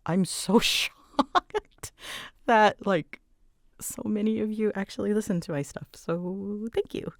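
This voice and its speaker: A woman's voice: medium pitch, takes it slowly, pauses often, no echo, studio quality. A woman chatting casually and unscripted as she answers listener questions, nervous and giggly, often interrupting herself and bouncing between thoughts. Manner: scattered, rambling, jumps between thoughts. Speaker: audio creator and voice actor answering listener questions.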